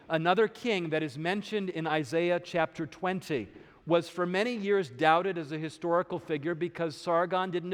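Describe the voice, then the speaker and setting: A man presenting a lecture in a steady, explanatory way. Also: fairly high pitch, big-room sound. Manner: explanatory, steady, occasional uh fillers. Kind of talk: lecture on archaeology.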